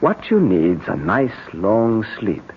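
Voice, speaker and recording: A man's voice: fairly low pitch, sing-song, slow pace, husky, phone-quality sound, full character voice. A man playing a courteous, proper English husband in a 1970s radio drama, speaking in a formal, polite manner. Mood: soothing.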